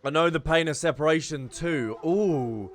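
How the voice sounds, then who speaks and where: A man's voice: fairly high pitch, normal speed. A man giving casual gameplay commentary as a streamer, reacting and reading dialogue choices aloud into a close mic. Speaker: game streamer.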